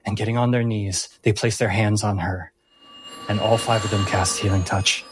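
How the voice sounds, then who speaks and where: A man working as game master, telling a dramatic fantasy scene in measured phrases. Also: lowish voice, level tone. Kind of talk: dramatic fantasy tabletop narration. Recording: music behind the voice.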